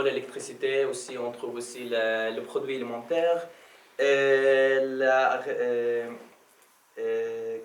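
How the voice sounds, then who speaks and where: A young man from Palestine speaking French, giving a personal testimony as a guest speaker, often pausing and restarting his phrases. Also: mid-range voice. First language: Arabic. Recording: roomy, distant mic, faint background ambience.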